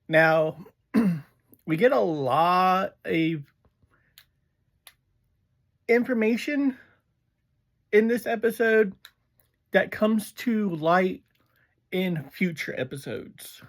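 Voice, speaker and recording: Casual reviewer chatting about an episode, really slow and halting, medium pitch, stops mid sentence a lot. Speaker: reviewer and host of a review series.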